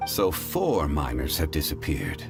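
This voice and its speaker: Calm scholarly gentleman, deep voice, expressive inflection, deliberate formal speech, anime game, music behind. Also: vocal fry, full character voice. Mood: composed. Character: calm, learned, dignified gentleman investigator.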